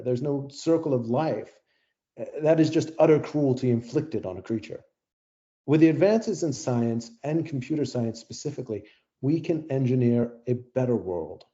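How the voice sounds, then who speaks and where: A man's voice: mid-range voice, dark tone, lo-fi sound, natural voice. A man playing a blunt, coldly rational AI project leader lecturing in an audio play debate. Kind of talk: radio play debate acting.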